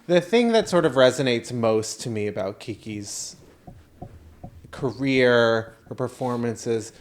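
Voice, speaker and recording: A man with a mid-pitched voice chats as a podcast co-host. He tells comedic stories in an animated, dramatic manner, voicing people's reactions as he goes. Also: slow pace, a little hiss or hum. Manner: animated, dramatic storyteller who acts out reactions and quotes. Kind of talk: casual comedic storytelling chat.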